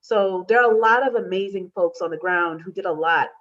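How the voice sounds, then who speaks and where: A woman's voice: lowish voice, brisk, fairly bright tone. An American woman in her thirties explaining her community work as a panelist on a video call. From United States. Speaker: panelist, community organization founder. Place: room indoors, video call. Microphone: computer mic on a video call. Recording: slightly dull video-call audio.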